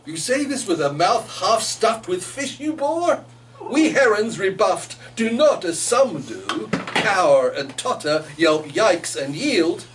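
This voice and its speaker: Man acting out a pompous heron from a verse tale, indignant, sneering drawl, highish and animated, distant mic. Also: takes it slowly, faint music behind, exaggerated voice. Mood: indignant, blustering. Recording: noisy room, distant microphone.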